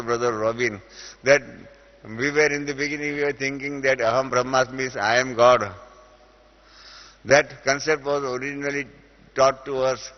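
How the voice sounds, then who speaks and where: A middle-aged man with an Indian accent, teaching a spiritual class and explaining through everyday examples, with many hesitant "uh" fillers. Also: medium pitch. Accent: Indian English. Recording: dull lo-fi audio with long reverb in a hall.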